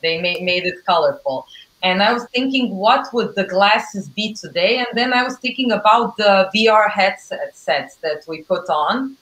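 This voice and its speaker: A woman's voice: mid-range voice, animated, normal speed, fairly bright tone. A woman in her thirties hosting a conversation, speaking in a reflective, slightly hesitant way over a video call. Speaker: host. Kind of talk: casual conversation. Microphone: video call webcam. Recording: echoey room on a video call.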